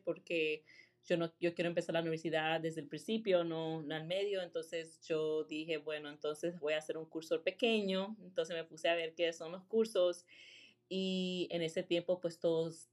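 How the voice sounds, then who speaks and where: A woman from Rhode Island, a real estate agent, chatting in a relaxed interview and dropping English fillers between her sentences. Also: on the low side. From Rhode Island, USA. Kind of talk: casual interview.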